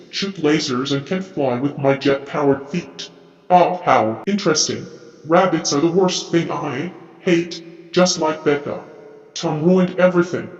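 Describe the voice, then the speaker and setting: A man's voice: fairly high pitch, natural voice. A man voicing a hateful, menacing robot henchman in taunting, grumbling game-character voice lines. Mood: boastful, menacing. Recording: echoey, reverberant, slightly muffled audio.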